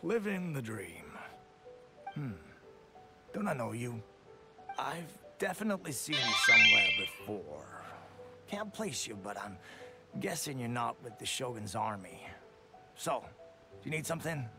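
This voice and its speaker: A man voicing a sleepy, laid-back loner soldier in a video game, speaking in a drowsy, halting way. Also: medium pitch, sing-song. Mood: drowsy, content. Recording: loud game music behind.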